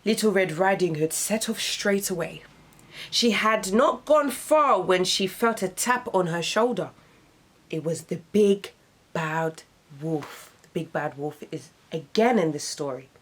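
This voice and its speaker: A young adult woman reading children's stories aloud as a host, with dramatic, deliberate emphasis. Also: fairly low pitch, slightly rough. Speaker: radio host, storyteller. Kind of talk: expressive children's storybook narration.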